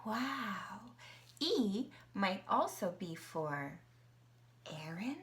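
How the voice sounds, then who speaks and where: A woman's voice: mid-range voice, big pitch swings, very slow, a bit bright. A woman from Canada reading a picture book aloud to a young child, asking questions and gasping at the pictures. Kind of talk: children's story reading with sing-along.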